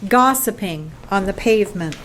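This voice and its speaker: A woman narrating a radio play in a measured, deliberate storytelling style. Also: mid-range voice, expressive, a bit bright, a little hiss or hum, natural voice.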